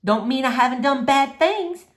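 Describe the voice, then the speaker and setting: A woman reading a children's novel aloud, voicing a wise, kindly old woman in a folksy, gently firm manner. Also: sits high, expressive, moderate pace. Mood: rueful.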